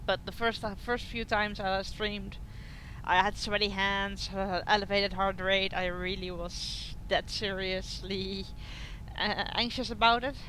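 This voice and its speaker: A woman's voice: mid-range voice, flat delivery, measured pace, slight background noise, poor audio. A woman from the Netherlands with a Dutch accent, chatting casually as she streams a game and answers her viewers. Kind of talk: casual livestream playthrough commentary. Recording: loud game music behind. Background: loud game music.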